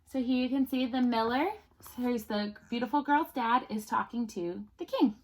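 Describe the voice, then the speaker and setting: A woman teacher reading a storybook aloud to children, pausing to explain things simply and ask questions. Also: fairly high pitch, darkish tone, poor audio.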